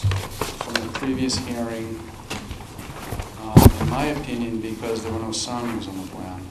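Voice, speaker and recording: A man representing an applicant at a town hearing, speaking formally and measuredly. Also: medium pitch. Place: town hall meeting room. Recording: echoey, very noisy room.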